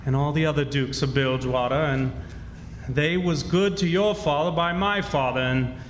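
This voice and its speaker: Stage radio-play old rogue played by a man: bogus exiled king, drawling, mock-solemn, fairly high voice, very roomy hall sound. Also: normal speed, a little hiss or hum, close to an everyday voice. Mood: pompous, sorrowful. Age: older. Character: grey-haired old rogue posing as exiled French king. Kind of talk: old-time radio drama acting, performed live. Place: stage in a reverberant historic club hall. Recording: echoey hall stage performance with music.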